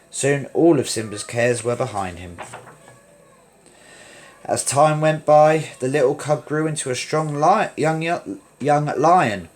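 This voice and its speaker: A man reading a children's story aloud in an expressive read-along style, with emphatic delivery. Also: mid-range voice.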